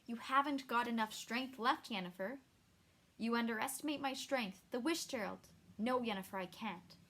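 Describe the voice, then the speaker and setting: A woman voicing a grim, weary male monster hunter who speaks low and gravely. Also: normal speed, a bit bright.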